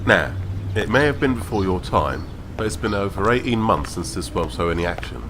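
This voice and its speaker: A man acting as a working man in a historical audio drama, with a deep voice. He explains things to his fellows over clear background noise and ambience. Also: animated, fairly quick. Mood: matter-of-fact.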